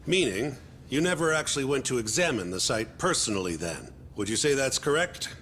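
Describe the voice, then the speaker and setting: An actor playing an insistent male defense lawyer in a video game dub, questioning in a formal, deliberate way. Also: medium pitch, lively intonation, fairly bright tone, a little hiss or hum, lightly acted. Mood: formal, pressing. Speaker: defense attorney character. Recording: dramatic music behind the dialogue.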